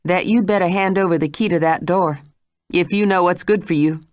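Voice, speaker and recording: A woman's voice: mid-range voice, very animated, moderate pace, no echo, clean studio sound, voice pushed up, close to an everyday voice. Woman doing a stern scolding housekeeper. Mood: threatening. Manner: scolding, forceful.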